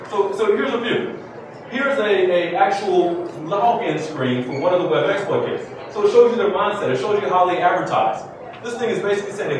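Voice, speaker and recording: A man with a fairly high voice delivers a keynote presentation to an audience. He punctuates his points with rhetorical questions and short checking tags. The room echoes heavily around him, and he sounds distant from the microphone. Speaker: keynote speaker, security researcher. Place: indoor conference room with seated audience. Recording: very echoey room, distant mic, clearly noisy.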